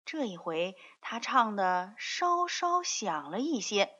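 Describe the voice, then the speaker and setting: A woman reading a scripted story for small children in an expressive, drawn-out way. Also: medium pitch, husky, highs cut off.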